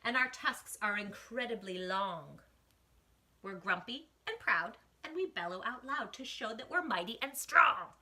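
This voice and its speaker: A woman's voice: on the high side, lively intonation, measured pace, sharp tone, heavily acted. A woman voicing a grumpy, proud, boastful walrus from a children's storybook. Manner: boastful, bellowing. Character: grumpy, proud, mighty walrus with long tusks.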